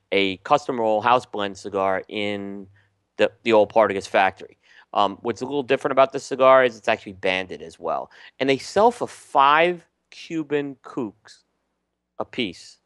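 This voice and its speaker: Relaxed, smooth-voiced male co-host. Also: fairly low pitch, no room sound, clean studio sound. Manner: relaxed, many ums and restarts. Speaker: podcast co-host. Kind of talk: casual chat reviewing cigars.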